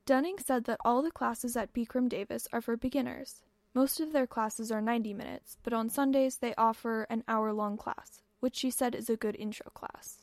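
A teenage woman reading a student news report in a steady, scripted way. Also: on the high side, moderate pace, hardly any pauses, dry recording.

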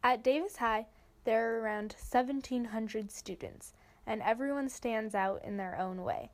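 A teenage woman reading a student news report in a clear, measured way. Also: a little high. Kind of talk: scripted news narration.

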